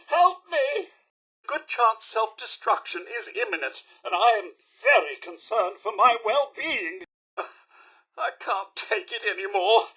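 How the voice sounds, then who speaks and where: A man's voice: very high-pitched, bright, forceful tone, full character voice. A man playing an anxious, polite robot butler in a video game, with a British accent, a robotic effect and a fussy, melodramatic, formal manner. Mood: frantic panic. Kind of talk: video game character voice lines.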